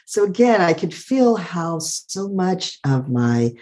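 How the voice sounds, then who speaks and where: A middle-aged woman with a calm, soothing voice, thoughtfully telling her life story as an interview guest and laughing often. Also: fairly low pitch, slow pace. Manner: warm, thoughtful, laughs often, uses "like" a lot. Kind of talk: reflective personal storytelling.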